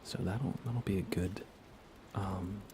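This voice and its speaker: A man chatting casually in a soft ASMR gaming-stream voice, often dropping toward a whisper. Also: steady tone, takes it slowly, noisy background. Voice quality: creaky, low. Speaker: livestreaming gamer.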